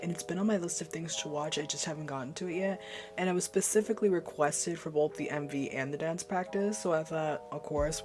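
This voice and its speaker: A hoarse woman hosting her own reaction video, chatting casually and rambling with lots of filler words. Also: deep voice, darkish tone. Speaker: YouTube reaction host.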